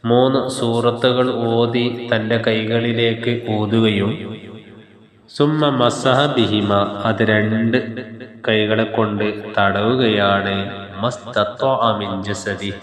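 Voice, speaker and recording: Slow, echoing male preacher. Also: on the low side, steady tone. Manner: measured, instructive, pausing phrase by phrase. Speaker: Islamic preacher. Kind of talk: religious sermon with Arabic recitation and explanation. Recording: heavy echo and long reverb.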